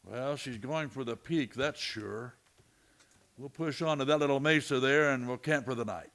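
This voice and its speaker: An actor playing a shrewd, steady lawman tracker in a western radio drama, with dry, folksy frontier phrasing. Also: mid-range voice, normal speed, lightly acted. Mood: businesslike.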